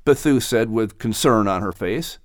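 A man working as announcer and narrator, giving animated scripted storytelling for children. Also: lowish voice, normal speed, no room sound, studio quality.

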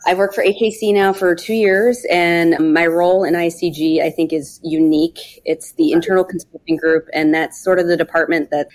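Woman podcast guest, lowish voice, casual chatty answers. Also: fairly even intonation, moderate pace, hardly any pauses, a little mellow. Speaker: podcast guest, project analyst.

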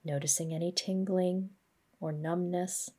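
A woman gently guiding a body scan meditation, speaking in a measured, instructional way. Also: lowish voice, fairly even intonation. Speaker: mindfulness practice guide.

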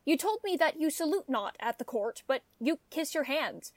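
A woman's voice: sits high, animated, moderate pace, no room sound. A woman playing an honest, contented, plain-spoken male shepherd in a Shakespearean radio play. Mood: puzzled, defensive.

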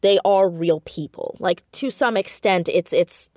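A woman's voice: on the low side, measured pace, a little mellow, dry recording. Woman chatting in a dry, close recording. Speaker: podcast guest, audio drama writer and creator. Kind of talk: casual interview chat about own writing.

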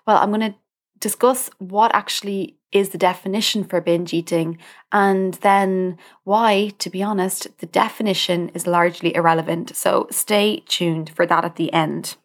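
Lower-pitched Irish woman, informal podcast host. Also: fairly even intonation, moderate pace. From Ireland. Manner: direct address to the listener, informal. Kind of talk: conversational podcast monologue.

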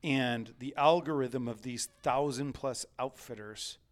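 A man's voice: medium pitch, a little slow. A man co-hosting a podcast, chatting casually. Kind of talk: casual chat.

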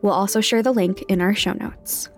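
A woman's voice: medium pitch, steady tone, normal speed. A woman reading scripted sponsor messages and listener notices as a podcast announcer. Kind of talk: scripted sponsor read and content warning. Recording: music underneath. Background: background music.

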